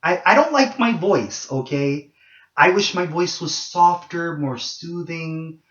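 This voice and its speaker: Young man, low voice, candid rambling vlog, muffled lo-fi sound, echoey room. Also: slow pace, a bit bright. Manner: candid and rambling, many ums and restarts. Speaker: vlogger. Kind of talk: casual monologue to camera.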